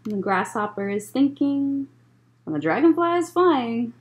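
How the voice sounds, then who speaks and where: Animated, high-pitched woman reading to children. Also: normal speed, a little hiss or hum. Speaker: children's librarian reading at story time. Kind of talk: picture-book read-aloud with chatty asides to children. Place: library room, indoors.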